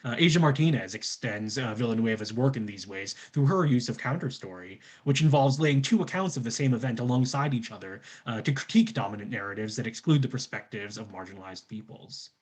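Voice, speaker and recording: A man's voice: medium pitch, lively intonation, brisk, few pauses. A man presenting scholarly research from a script, in formal academic wording with citations.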